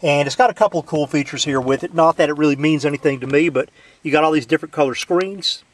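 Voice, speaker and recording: A man's voice: a little high, quick pace. A man in his truck casually showing off a CB radio, folksy, with lots of filler words. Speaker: CB radio hobbyist demoing a radio. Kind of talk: casual show-and-tell.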